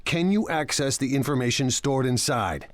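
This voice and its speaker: An actor playing a confident, sly crime lord in a video game, sardonic and self-assured. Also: male voice, medium pitch, animated, normal speed, no echo, natural voice. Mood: sly confidence. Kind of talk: scripted video game acting.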